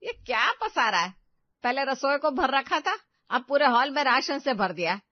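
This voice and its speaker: A woman's voice: high-pitched, big pitch swings, normal speed, no echo, dull audio, voice pushed up. A woman voicing an older mother-in-law, an emphatic, nagging family matriarch in a moral story. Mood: scolding.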